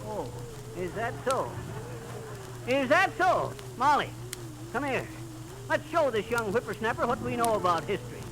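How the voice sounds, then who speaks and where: An actor playing a boastful, folksy small-town know-it-all husband with rustic, blustering speech in 1930s radio comedy style. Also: male voice, extremely high pitch, very animated, normal speed, long pauses, background noise. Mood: indignant, boastful. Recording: vintage 1930s radio recording.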